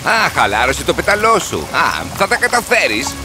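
A man's voice: fairly high pitch, big pitch swings, over music. A man playing a pushy, careless horse driver in a cartoon, urging and exclaiming. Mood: pushy, agitated.

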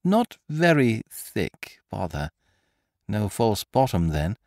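A man voicing a cocky adult gentleman thief in an audiobook, with brisk, mocking, triumphant speech. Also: on the low side, sing-song, dry recording, clean studio sound, pitched above the performer's own voice, heavily acted. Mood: gleeful. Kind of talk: audiobook character voice.

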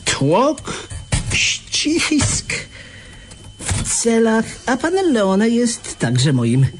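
A man's voice: very high-pitched, wildly swooping pitch, drawn-out words, background noise, heavily acted. An actor playing a stubborn, sardonic police lieutenant in a radio drama, drawing out his mock-sympathetic, polite phrases. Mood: mocking, smug.

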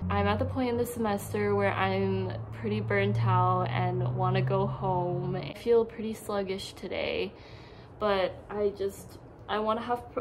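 A woman's voice: medium pitch, a little mellow. Young woman vlogger, noisy background. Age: young adult. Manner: informal, giggly, frequent filler "like". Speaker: college student vlogger. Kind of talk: casual vlog chat to camera. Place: dorm room and outdoor paths. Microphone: phone or camera at arm's length.

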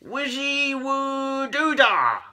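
A woman voicing a shy, silly, flustered male cat with a squeaky, stammering manner in a storybook read-aloud. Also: very slow, bright, forceful tone, exaggerated voice. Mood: straining, hopeful.

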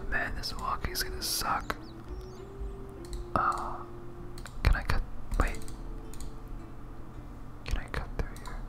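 A gamer speaking in a breathy whisper, giving soft, rambling ASMR commentary while playing a video game. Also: takes it slowly, pauses often.

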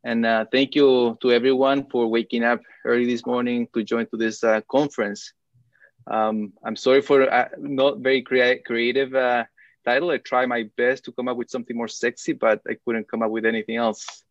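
A man in his thirties from Chile, giving an academic lecture with frequent hesitant filler pauses. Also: medium pitch, flat delivery, dry recording, muffled recording. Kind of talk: academic presentation over video call. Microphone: video call.

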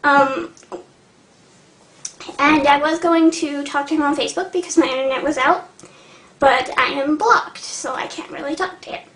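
A young woman talking to camera in a casual vlog, hesitant and earnest, with many pauses and fillers. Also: sits high, fairly even intonation, a bit bright. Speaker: vlogger. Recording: echoey room.

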